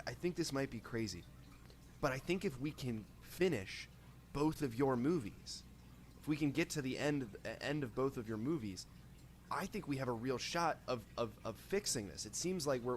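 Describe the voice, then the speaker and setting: Halting man role-playing, faint noise behind. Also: mid-range voice. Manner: halting, thinking aloud. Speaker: tabletop game player. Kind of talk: casual improvised role-play.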